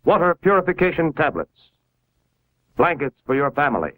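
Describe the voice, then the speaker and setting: An American man reading a scripted 1950s educational film narration in a formal, measured, instructive manner, on a vintage film soundtrack. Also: a little high, sing-song, pushed and bright, no room sound, rough recording.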